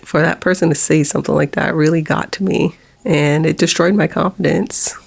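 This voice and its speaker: Woman, 40s, low monotone gravelly voice; halting, fighting back tears in a confessional vlog. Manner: halting, with nervous laughs. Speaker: vlogger.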